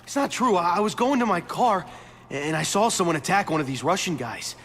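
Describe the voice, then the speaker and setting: A man's voice: high voice, expressive, a little fast, fairly bright tone, slight background noise. An actor playing a nervous male bystander wrongly accused of murder in a video game, stammering and pleading. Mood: anxious. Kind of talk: video game cutscene acting.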